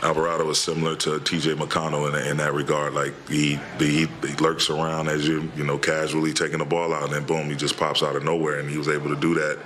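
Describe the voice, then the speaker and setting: A middle-aged man with a very low, flat voice answers reporters after a game, starting and restarting his sentences as he searches for words. He speaks into a table microphone in a press room, with a faint hiss of ambience behind him. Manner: halting, many restarts and fillers. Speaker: head coach. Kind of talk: postgame press conference answers. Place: press room in front of a logo backdrop.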